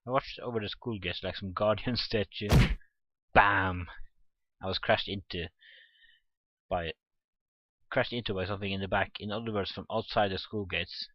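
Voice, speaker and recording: Low-voiced man reading a visual novel aloud. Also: steady tone, moderate pace, pauses often, no room sound, muffled recording. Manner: acts out dialogue and exclamations, stumbles over words. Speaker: let's play streamer. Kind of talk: reading visual novel script aloud in let's play commentary. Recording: game music behind the voice. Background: visual novel background music.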